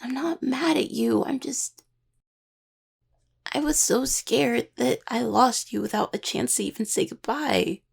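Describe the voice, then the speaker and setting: Husky, creaky, anxious young necromancer played by a woman. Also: fairly high pitch, a little slow, heavily acted. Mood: tender, anxious. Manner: stammering, hesitant, earnest. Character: anxious young student necromancer, devoted worried partner. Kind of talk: audio roleplay acting.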